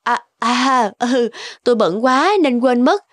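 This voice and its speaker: A woman's voice: fairly high pitch, slow pace. A woman playing a self-absorbed, persuasive actress wife in a cartoon, breezy and insistent. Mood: breezy, businesslike. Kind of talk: Vietnamese cartoon voice acting. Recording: music behind the voice.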